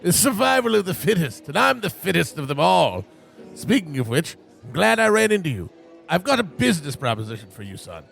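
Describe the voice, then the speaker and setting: A man's voice: fairly high pitch, sing-song, brisk, gravelly, fairly bright tone, soft background music, heavily acted. A man playing a gloating old villainous tycoon in a parody radio play, pompous and scheming in old-fashioned speech. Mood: smug, wheedling.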